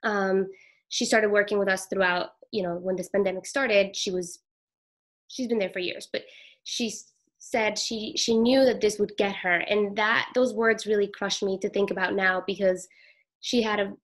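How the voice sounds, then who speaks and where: A middle-aged woman from Peru, an emergency room nurse, giving a halting, soft-spoken personal account in an interview. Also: mid-range voice, fairly even intonation.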